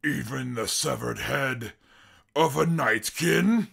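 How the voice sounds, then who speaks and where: A man playing an educated, short-tempered super mutant in an audio drama, with formal speech and a gravelly, husky voice. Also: mid-range voice, heavily acted. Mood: restrained, haughty. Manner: formal, deliberate speech flaring into shouted outbursts, then apologizing. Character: educated super mutant brute, proud, short-tempered, self-styled genius. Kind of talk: post-apocalyptic audio drama acting.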